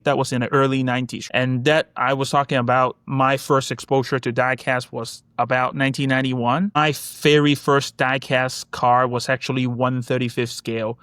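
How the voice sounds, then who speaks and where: Smooth-voiced man. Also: mid-range voice, fairly bright tone, dry recording. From Hong Kong. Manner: reminiscing, many fillers and "you know, like". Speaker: guest, collectibles company executive. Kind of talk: casual interview storytelling.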